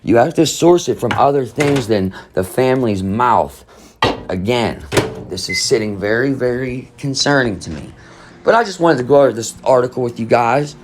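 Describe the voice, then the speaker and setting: A man's voice: medium pitch, lively intonation, moderate pace, noisy background. Rambling skeptical man reading crime news. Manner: rambling, skeptical, hums between passages. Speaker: true crime channel host. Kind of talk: casual commentary reading an article aloud.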